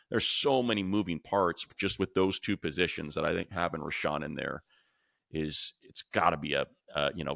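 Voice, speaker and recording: A man's voice: low voice, gravelly, bright, forceful tone, no echo, clean studio sound. An American man co-hosting a sports podcast, talking casually and emphatically in a conversational way. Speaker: sports podcast co-host and commentator.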